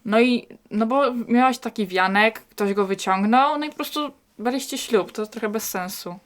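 A woman's voice: a little high, moderate pace. A woman speaking casually in a group discussion, giving her opinions frankly.

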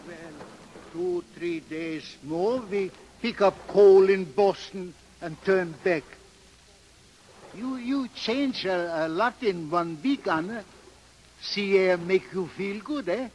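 Old Swedish barge captain: high-pitched, slow, broken English, fond father, vintage radio drama. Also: darkish tone, slight background noise. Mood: cheerful. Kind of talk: 1930s radio drama acting. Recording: old 1930s radio recording.

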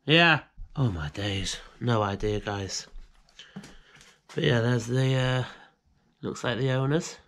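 A man's voice: medium pitch, slow pace, long pauses, a bit bright. A British man giving casual commentary to viewers as he explores indoors with a handheld camera. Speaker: urban exploration vlogger. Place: inside rooms of an abandoned house. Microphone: handheld action camera on gimbal.

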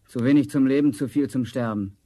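A man's voice: mid-range voice, brisk, warm tone, close to an everyday voice. A man playing a discontented, envious young charcoal burner in a theatrical 1970s fairy-tale audio drama. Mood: bitter, despairing.

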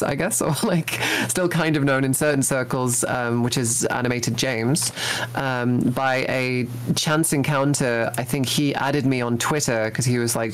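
Rambling young man with many fillers. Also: mid-range voice. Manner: hesitant, many fillers and restarts. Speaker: voice actor guest. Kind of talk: casual interview talk.

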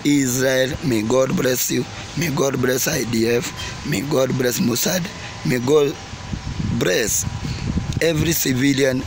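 Guy doing opinion vlog outside, choppy emphatic delivery, repeats himself, noisy background w cars. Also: medium pitch. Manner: halting, emphatic, repetitive phrasing. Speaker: vlogger. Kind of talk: opinionated war news commentary to camera. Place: outdoors by parked cars and trees. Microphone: phone or camera at arm's length. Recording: noisy outdoor recording with traffic ambience. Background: outdoor ambience and vehicles.

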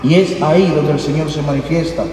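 A man priest speaks from the pulpit of a large church, earnest and repetitive, dropping little filler tags between phrases. His fairly high voice carries a long, roomy reverb. The camera picks him up from a distance. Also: steady tone, brisk, warm tone, slight background noise. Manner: earnest, filler-tagged preaching with repeated phrases. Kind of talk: homily, then interpreting into Spanish. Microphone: headset mic.

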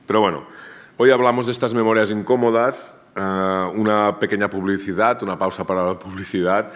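A man with a Catalan accent and a very low voice lectures at a seminar table in a university room. He speaks loosely, often stopping to repeat a word or restart a phrase. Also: fairly even intonation, brisk, a bit bright. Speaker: academic speaker. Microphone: table microphone, far camera.

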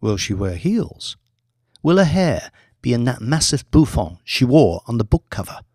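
A man reading a scripted audiobook story in a measured, deliberate storytelling manner. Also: mid-range voice, big pitch swings, darkish tone, husky, dry recording, studio quality. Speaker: audiobook narrator, author reading own book.

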